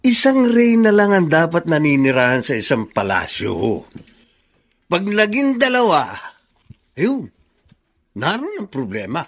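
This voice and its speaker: Lively, higher-pitched Filipino man. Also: a little slow, pauses often, heavily acted. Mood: admonishing. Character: frank, opinionated male office friend giving advice. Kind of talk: Filipino radio drama acting.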